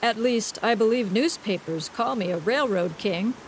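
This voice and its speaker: A woman's voice: on the high side, lively intonation, moderate pace, slight background noise, lightly acted, low-quality recording. A woman voicing a good-humored young railroad magnate in a storybook, speaking genially with mild amusement. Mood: amused. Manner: genial, amused, a bit vague. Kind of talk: audiobook reading.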